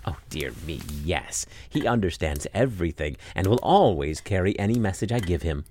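A man reading a storybook aloud and putting on a high-pitched, sing-song voice for a shoemaker's wife. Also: expressive, moderate pace, darkish tone, a little hiss or hum. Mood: assuring. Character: fussy woman, shoemaker's wife.

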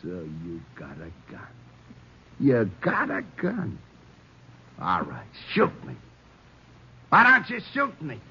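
An American actor playing a desperate, bitter escaped killer in a 1950s radio drama, taunting in rough street slang. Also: male voice, on the low side, wildly swooping pitch, slow, pauses often, bright, forceful tone, full character voice. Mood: reckless. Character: desperate, bitter escaped killer, gutter-raised tough guy. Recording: noisy, dull old radio recording.

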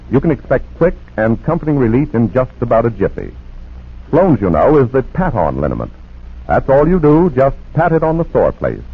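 A man's voice: mid-range voice, breathy edge. A man announcing a commercial in 1940s radio style, with a polished, persuasive salesman's delivery. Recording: muffled, narrow-band vintage radio recording.

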